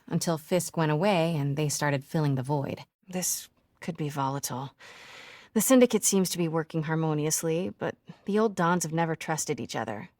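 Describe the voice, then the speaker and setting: A woman playing a determined, brave young reporter in a video game, breathy and often hushed. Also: lowish voice, no room sound, studio quality, close to an everyday voice. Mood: businesslike, focused. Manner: hushed, often whispering to herself.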